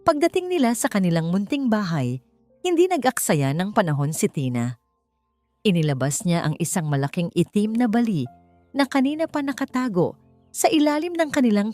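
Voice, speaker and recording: A woman reading a dramatic scripted story in a solemn, measured, theatrical way. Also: mid-range voice, darkish tone, dry recording. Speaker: storyteller, narrator. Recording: dry voice over background music. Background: music.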